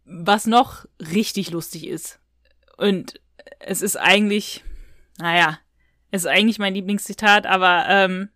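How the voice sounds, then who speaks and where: A woman's voice: medium pitch, fairly even intonation, unhurried, fairly bright tone, dry recording, studio quality. A woman co-hosting a podcast, chatting casually and retelling things in a colloquial, rambling way. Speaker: podcast co-host. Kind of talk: casual podcast chat, reviewing audio dramas.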